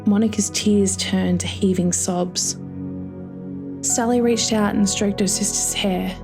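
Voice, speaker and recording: A woman's voice: mid-range voice, steady tone, takes it slowly, vocal fry, music in the background. A woman narrator reading a scripted dramatic story.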